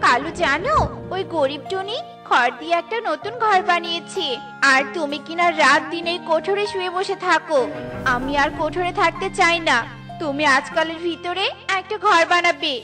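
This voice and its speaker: Cartoon crow wife woman performer, very high-pitched, demanding and scolding, with loud music underneath. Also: moderate pace. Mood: nagging. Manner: scolding, insistent. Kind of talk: children's cartoon dubbing.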